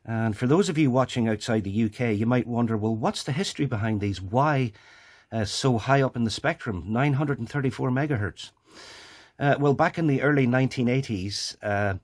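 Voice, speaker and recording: A man with a medium-pitched voice presents a hobby demonstration. He explains things casually and haltingly, thinking aloud as he goes. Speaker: presenter of a radio hobby video.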